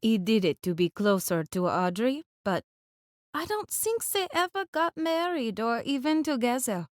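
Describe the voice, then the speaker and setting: A woman voicing a romantic, unhurried man who likes to confide, in audiobook dialogue. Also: fairly high pitch, very animated, no echo, studio quality. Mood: confiding. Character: romantic, talkative man who guides visitors.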